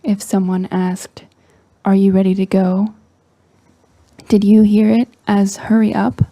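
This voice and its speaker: A woman leading a guided meditation in a soft, breathy, near-whispering voice, calm and gentle with long pauses. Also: medium pitch, no echo. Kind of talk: slow guided meditation narration.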